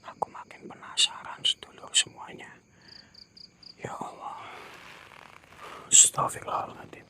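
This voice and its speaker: Hushed whispering vlogger. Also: unhurried. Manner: hushed, fearful, keeps murmuring prayers. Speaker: ghost-hunting vlog companion.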